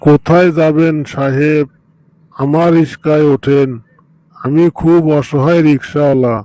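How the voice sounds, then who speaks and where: A man's voice: a little high, steady tone, unhurried, warm tone, no echo, natural voice, poor audio. A man voicing a ruined, humbled rickshaw puller in an amateur cartoon, pleading abjectly.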